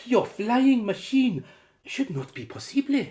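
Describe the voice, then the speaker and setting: A slow-spoken foreign man played by an actor, with lively rises and falls in his voice. He is a wary but courteous castaway in a radio adventure, choosing his words carefully and haltingly. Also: very high-pitched, full character voice. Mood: astonished. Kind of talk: radio adventure drama acting.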